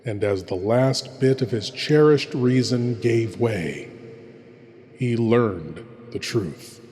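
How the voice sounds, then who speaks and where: A long cavernous reverb surrounds a man's mid-pitched voice. He speaks slowly as a theatrical horror host, ominous and deliberate with scripted narration. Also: darkish tone.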